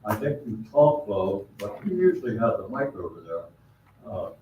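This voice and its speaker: A man's voice: fairly low pitch, very animated, moderate pace, warm tone, a little hiss or hum. A man speaking at public comment in a council meeting, blunt and complaining, with hesitant fillers. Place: echoey council chamber. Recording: dull, echoey hall from far off.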